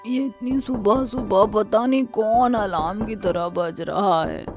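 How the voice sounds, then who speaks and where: A woman's voice: mid-range voice, slow pace, music in the background. A woman voicing a snide, mocking young daughter-in-law with an exaggerated, taunting manner for a cartoon. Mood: grumpy. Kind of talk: cartoon story dubbing.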